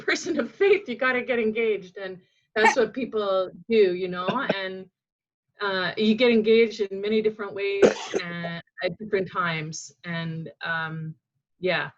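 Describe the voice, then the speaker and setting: A woman's voice: medium pitch, steady tone, a little slow. A woman making casual, reflective remarks in an online discussion, with slightly dull audio. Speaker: panel participant. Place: online video call.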